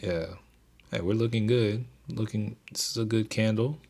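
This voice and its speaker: A young man hosting a casual stock trading livestream, talking in a soothing, even voice and chatting with viewers in a laid-back way. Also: on the low side, moderate pace. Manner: laid-back, keeps delivery even, reads chat aloud.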